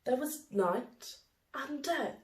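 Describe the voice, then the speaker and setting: Woman reading as a wise kindly star, hushed storyteller, unhurried, roomy echo. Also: high-pitched, animated. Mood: kindly, mysterious. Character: kindly shooting star, wise teller of ancient tales. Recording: roomy, echoey indoor sound.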